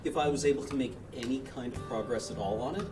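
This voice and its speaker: A man's voice: medium pitch, fast. A man hosting a livestream, giving casual advice and often searching for his words. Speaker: charity livestream host reading viewer messages. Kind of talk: casual, unscripted advice chat. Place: indoor livestream studio. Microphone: handheld-style microphone close at desk. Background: music in the background.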